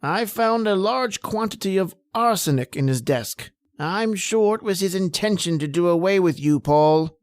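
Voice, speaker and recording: A man playing a grave doctor in an old-time radio horror drama, speaking with deliberate, dramatic delivery. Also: sits high, animated, no echo. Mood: grave, revealing. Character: grave male doctor solving a family tragedy.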